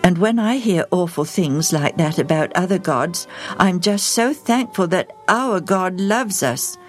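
A woman voicing a sensible young boy who speaks in a calm, firm way. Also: lowish voice, measured pace, faint music behind. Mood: earnest.

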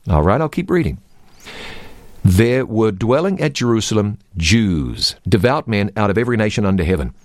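A man's voice: on the low side, sing-song, normal speed, dry recording, studio quality. A man teaching the Bible, reading scripture aloud and quizzing his co-host.

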